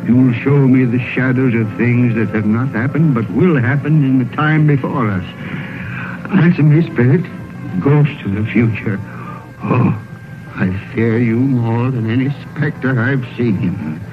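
An actor playing a fearful, repentant old miser with halting, pleading, dramatic delivery in a vintage radio drama. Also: male voice, mid-range voice, lightly acted. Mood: fearful, earnest. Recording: muffled vintage radio broadcast, music behind.

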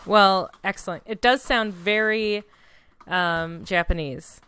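A woman's voice: mid-range voice, slow pace, bright, forceful tone, smooth. A woman in casual, informal conversation, with a wry and playful manner. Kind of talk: casual chat.

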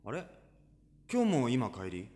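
Audio drama high school boy, played by a man: frank, casual, self-deprecating, animated mid-range voice. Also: slow pace. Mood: friendly. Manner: casual, blunt, teasing. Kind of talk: Japanese audio drama acting.

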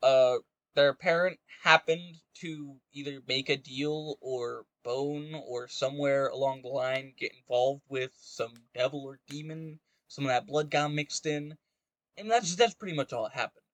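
A man's voice: medium pitch, measured pace, fairly bright tone, no echo. A man in casual, opinionated conversation as a podcast co-host talking fantasy gaming lore.

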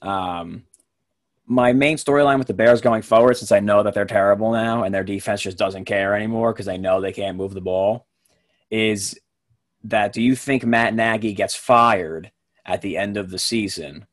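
Relaxed low-voiced male podcast host. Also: moderate pace, no room sound, studio quality. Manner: relaxed, laughs easily, lots of fillers. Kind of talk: casual sports podcast chat.

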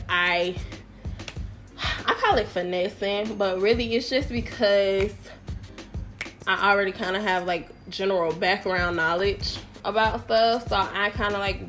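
Young woman podcast host, nasal and a bit sick, easygoing and playful, mid-pitched voice. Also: a bit bright. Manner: chatty, playful, self-deprecating, slangy asides. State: sick with a summer cold. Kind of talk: casual solo podcast chat.

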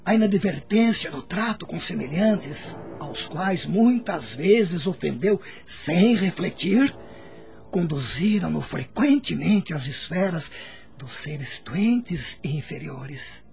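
Solemn male doctor spirit, high voice, animated intonation, Brazilian accent, gently reproachful radio drama delivery. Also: vocal fry, full character voice. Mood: grave reproach. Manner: solemn, measured, admonishing. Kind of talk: radio drama acting, formal Portuguese diction.

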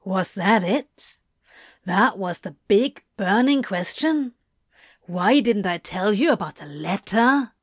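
A woman's voice: medium pitch, slow pace, no echo. A woman voicing a sardonic, protective male demon in an audiobook story, with a dry, grumbling delivery. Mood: resigned. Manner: dry, muttering, deadpan.

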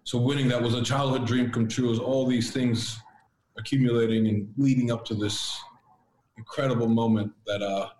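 A man who grew up speaking Latvian, a podcast guest talking in an earnest, reflective way with halting restarts. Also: mid-range voice, steady tone, darkish tone, a little hiss or hum. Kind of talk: casual interview chat. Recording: roomy echo.

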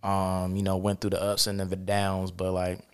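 A man's voice: deep voice, monotone, normal speed, clean tone. A man hosting a podcast in a loose, casual way, full of fillers and affirmations. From Charlotte, North Carolina. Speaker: podcast host. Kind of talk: casual conversation.

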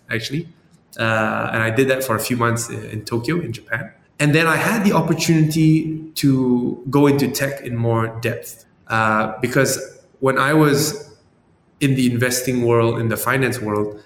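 A man from Malaysia in his thirties, a startup founder answering interview questions thoughtfully, with many fillers and tag questions. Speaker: startup founder and CEO guest. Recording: echoey room with long reverb, faint rumble.

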